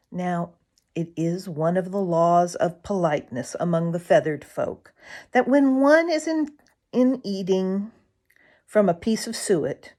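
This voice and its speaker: Female children's audiobook narrator: slow, lowish register, animated swoops of intonation. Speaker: storybook reader, narrator. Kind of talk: expressive children's story narration.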